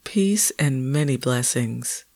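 A middle-aged woman hosting a poetry podcast with a soothing, deliberate, affirming delivery. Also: extremely low pitch, lively intonation, a little mellow, no room sound. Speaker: podcast host, poet. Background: music.

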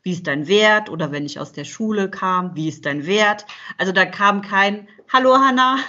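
A woman's voice: mid-range voice, unhurried, bright, forceful tone. A woman in her thirties, a podcast guest, talking reflectively and hesitantly with many fillers. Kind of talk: casual interview chat. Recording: dull lo-fi sound.

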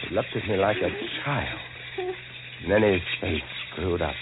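A man's voice: low voice, animated, moderate pace, very noisy. An actor playing a haunted lighthouse keeper, telling his horror story with dramatic intensity in old-time radio style. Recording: tinny old radio recording with music.

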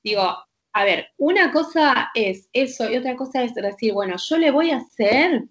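A woman with a Rioplatense accent speaks in a fairly high voice, explaining medical points as a doctor. She is insistent and emphatic and keeps asking whether the listener follows. The audio is dull and lo-fi. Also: lively intonation, normal speed, a bit bright. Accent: Rioplatense Spanish. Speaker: doctor guest. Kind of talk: heated conversational explanation.

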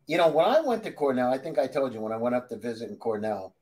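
A man's voice: medium pitch, animated, fast, darkish tone, low-quality recording. A man hosting an interview, chatty and fond of telling personal stories and asking friendly questions. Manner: chatty, storytelling, friendly asides. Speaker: interview host. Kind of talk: casual interview conversation.

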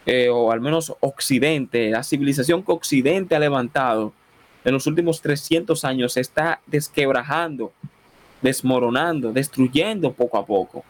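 A man from the Dominican Republic with a Dominican Spanish accent, hosting a radio program and preaching a sermon with a closing prayer. He speaks fervently, with frequent exclamations of amen and praise. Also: mid-range voice. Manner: leads a repeat-after-me prayer in a slow, solemn cadence.

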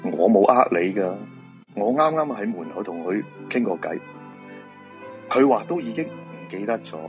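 Tired feng shui master, low hong kong cantonese voice, talks people round, radio play with faint music. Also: male voice, big pitch swings, moderate pace, a little mellow. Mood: sober, persuading. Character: weary, persuasive male feng shui master, former music teacher. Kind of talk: live supernatural radio drama acting.

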